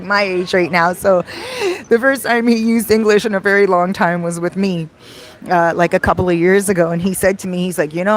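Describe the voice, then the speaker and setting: A woman hosting a casual livestream Q&A, chatting conversationally and wandering off into asides. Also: medium pitch. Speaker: livestream host answering viewer questions.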